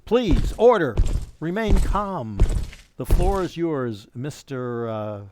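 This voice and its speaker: A man's voice: a little high, big pitch swings, slow pace, background noise. A man playing a ceremonious, authoritative official who chairs a world assembly in a radio play. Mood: calling for order.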